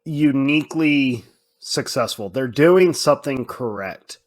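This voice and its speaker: A man's voice: mid-range voice, unhurried. Casual, emphatic male podcast co-host. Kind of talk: casual opinionated podcast discussion.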